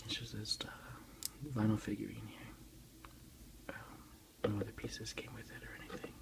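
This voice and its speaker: A man speaks in a hushed, breathy whisper with a low, crackling fry. His gives the unhurried ASMR show-and-tell an informal, folksy feel. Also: monotone. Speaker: ASMR video creator. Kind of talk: ASMR unboxing, softly narrated show-and-tell.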